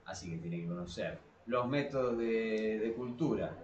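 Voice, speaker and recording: A man's voice: fairly low pitch, a little mellow, muffled recording, slight background noise. Argentine man thinking aloud in an echoey room. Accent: Argentine Spanish. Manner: halting, repeats words as he thinks aloud. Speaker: podcast co-host. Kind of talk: casual discussion. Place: small cramped room below a staircase. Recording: roomy echo of a small room.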